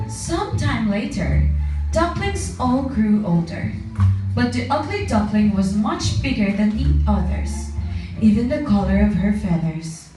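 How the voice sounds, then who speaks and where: A woman narrates a children's tale with animated, rising and falling pitch. Her scripted storytelling comes from far off in a very reverberant room, with music clearly playing behind her. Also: a little slow. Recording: very echoey room, distant mic, music.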